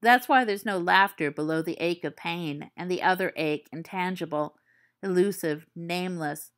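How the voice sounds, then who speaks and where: A woman with a fairly low voice reads a story aloud, calm and measured. Her delivery is soft and steady, in the manner of an audiobook. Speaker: podfic reader. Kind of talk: audiobook reading of fan fiction.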